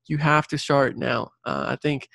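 Young man with a creaky voice. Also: medium pitch, steady tone, unhurried, fairly bright tone, dry recording. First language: Spanish. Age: late 20s or 30s. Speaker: interview guest, civil engineer and career coach. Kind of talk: casual interview talk.

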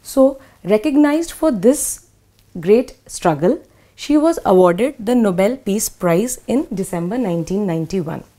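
A woman in her thirties with an Indian English accent, clearly and methodically explaining a school English lesson. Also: medium pitch, animated, a little slow, warm tone. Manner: clear, methodical, spells words out. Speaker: English teacher. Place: small studio in front of a whiteboard.

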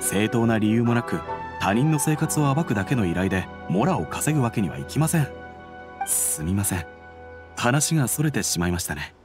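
A man playing an earnest detective agency employee in an anime game, speaking in a formal, deferential, measured way. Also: lowish voice, sing-song. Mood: respectful, earnest. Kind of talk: Japanese anime game dialogue. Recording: faint music under the voice.

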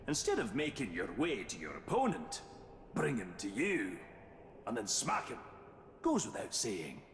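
Droll roguish game weapons expert, slow n super animated, big echoey room. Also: male voice, medium pitch, a little hiss or hum. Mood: wry showmanship. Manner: wry, droll, relishing the grisly details. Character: roguish, wry male artifact expert explaining ancient weapons. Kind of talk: theatrical scripted game narration. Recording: echoey hall with long reverb.

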